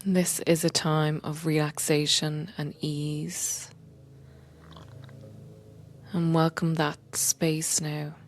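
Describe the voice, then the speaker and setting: A woman guiding a meditation in a gentle, unhurried, soothing way, often softening toward a breathy whisper. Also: low voice, flat delivery, slight background noise. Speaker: meditation guide and coach, podcast host. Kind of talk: guided meditation, soothing slow instruction.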